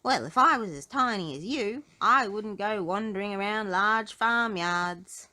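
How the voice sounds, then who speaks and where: A woman's voice: medium pitch, unhurried, pushed and bright. A woman voicing a big, kindly storybook man with a hearty, emphatic manner.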